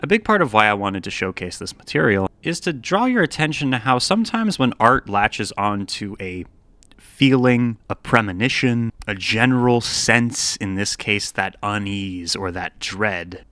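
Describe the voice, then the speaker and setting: A man's voice: on the low side, a bit bright, dry recording. A man giving video essay commentary, musing and dramatic, shifting between scripted narration and casual, wry asides.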